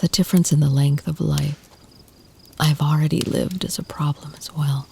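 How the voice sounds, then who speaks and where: A woman voicing an ancient, tender and protective female vampire in an intimate ASMR roleplay, speaking softly, half in whispers, with formal, deliberate phrasing. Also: low-pitched, fairly even intonation, vocal fry, slight background noise. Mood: wistful, reflective.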